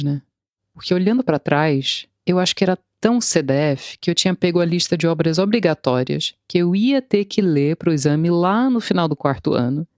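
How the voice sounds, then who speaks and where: A woman's voice: deep voice, dry recording, studio quality. Wry woman narrating a personal story. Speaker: translator and podcast narrator. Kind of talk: scripted first-person narration.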